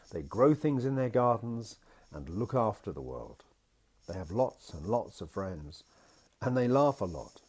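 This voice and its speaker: A man voicing a gentle, patient father in a picture-book story, reassuring his small daughter as he explains things. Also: on the low side, slightly rough, pitched above the performer's own voice.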